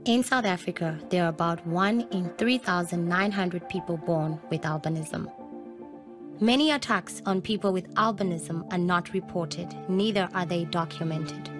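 A woman from Zambia giving calm, informative documentary narration. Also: fairly low pitch, moderate pace. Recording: voice-over with clear music behind.

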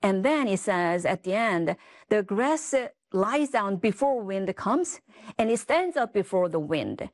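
A woman's voice: mid-range voice, no room sound. A woman author from South Korea, explaining her ideas thoughtfully in an interview and often checking in with "right?". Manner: thoughtful, explanatory, frequent tag questions. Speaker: author and guest. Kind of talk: interview answers.

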